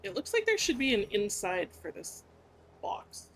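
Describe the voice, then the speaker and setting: A woman's voice: fairly high pitch, huge pitch swings, moderate pace, vocal fry, a bit bright, slight background noise. A woman game streamer giving casual live gameplay commentary, informally thinking aloud as she plays. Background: faint game music.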